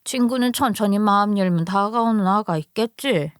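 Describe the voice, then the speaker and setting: Woman playing a quiet, hesitant country teen. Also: mid-range voice, smooth, no echo, studio quality, lightly acted. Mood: shy. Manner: slow, hesitant. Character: shy country teenage girl, quiet and withdrawn.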